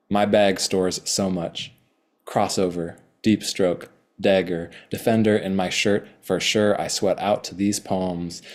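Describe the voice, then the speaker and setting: Deep voiced guy reading his poems slow and careful, kinda rambly between them. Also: a little mellow. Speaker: poet. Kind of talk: poetry reading with chatty asides.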